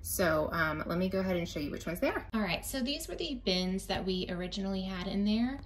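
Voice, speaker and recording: A woman in her thirties chats casually to her phone camera from a small kitchen, relaxed and filling gaps with ums. There is a faint hum of noise behind her voice. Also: medium pitch. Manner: relaxed with frequent "um" pauses. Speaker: RV lifestyle vlogger. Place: inside an RV kitchen.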